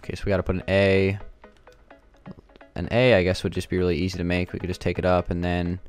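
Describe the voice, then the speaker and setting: Low-voiced young man, slightly raspy. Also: level tone, quick pace, soft background music. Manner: thinking aloud, hesitant, many fillers. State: sore throat. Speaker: gaming channel host. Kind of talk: casual gameplay commentary.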